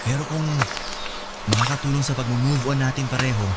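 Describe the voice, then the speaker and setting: A man playing a remorseful boyfriend in a radio drama, coaxing softly and earnestly. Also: medium pitch, steady tone, fairly quick, natural voice. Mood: gently pleading. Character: remorseful, pleading boyfriend of a hurt woman. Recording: loud music under the voice.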